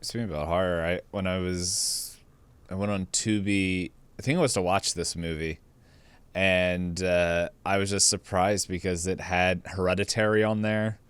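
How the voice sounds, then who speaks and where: A man's voice: low-pitched, steady tone, normal speed, smooth, no echo. A man from upstate New York co-hosting a podcast in casual conversation. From upstate New York, United States. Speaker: podcast co-host.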